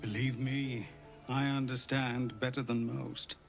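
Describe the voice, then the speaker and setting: An actor playing a weary, formal leader of refugees who thanks the hero. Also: male voice, medium pitch, level tone, unhurried, gravelly, faint music behind. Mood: grateful. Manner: earnest, formal, grateful.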